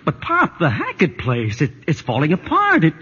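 A man playing an anxious, easily flustered young country son who stammers raspily in a 1940s radio play. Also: on the high side, moderate pace, full character voice. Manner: stammering, excitable. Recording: roomy, dull 1940s radio recording.

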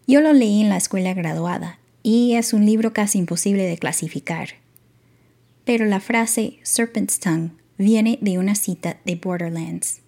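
A woman hosting a podcast, calmly asking questions and explaining background. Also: medium pitch, unhurried. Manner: calm, explanatory, asks curious questions. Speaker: podcast host. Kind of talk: scripted narration.

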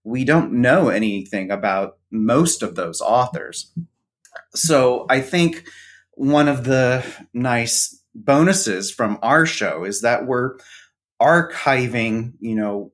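A man's voice: lowish voice, a bit bright. A man appearing as a guest on a podcast, speaking thoughtfully with some hesitation. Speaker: podcast guest, co-host of another show. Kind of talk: conversational interview.

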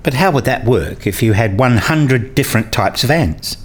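A man's voice: mid-range voice, lively intonation, moderate pace, husky. A man playing a curious, skeptical interviewer in a radio play, speaking in an inquisitive, articulate way. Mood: curious, probing. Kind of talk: scripted questioning dialogue in a radio play.

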